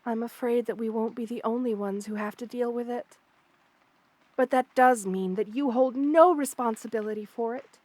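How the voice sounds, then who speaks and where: A woman voicing a kind, protective spider queen in an ASMR roleplay, speaking intimately and soothingly to the listener with hesitant pauses and formal wording. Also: a little high, darkish tone, no room sound, lightly acted. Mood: firm reassurance.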